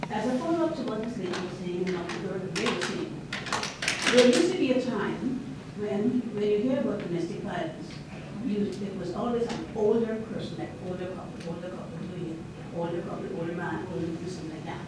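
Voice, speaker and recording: A woman from Guyana asks a question from the audience, hesitating now and then. She is far from the microphone in a strongly echoing room, and a clear hiss runs underneath. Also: mid-range voice, fairly quick. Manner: hesitant, with fillers. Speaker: audience member asking a question. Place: university lecture room. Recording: echoey room, far from mic, audible hiss.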